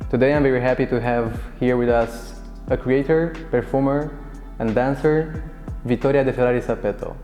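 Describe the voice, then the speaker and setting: A man's voice: medium pitch, steady tone, moderate pace, hardly any pauses, darkish tone. A man hosting an interview, asking short friendly questions. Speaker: interview host. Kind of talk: hosted interview. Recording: echoey hall with faint music.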